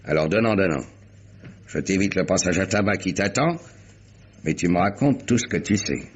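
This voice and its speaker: An actor playing a brutal, sneering, impatient police lieutenant in a French radio crime drama, with husky, curt, threatening, slangy delivery. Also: male voice, deep voice, animated, moderate pace. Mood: gruff, bullying. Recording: dull vintage radio recording.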